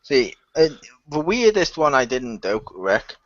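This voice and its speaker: A man in a casual group chat, telling stories in an animated way, acting out quotes and swearing freely, on a slightly lo-fi recording. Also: medium pitch, a little slow. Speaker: radio play cast member on a wrap-up discussion.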